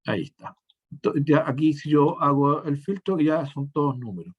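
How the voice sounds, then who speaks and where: A male teacher, walking through a software demonstration step by step and often restarting his sentences mid-thought. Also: on the high side, expressive, normal speed, slightly rough, phone-quality sound. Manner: step-by-step explaining, frequent self-corrections and restarts. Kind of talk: screen-share software tutorial.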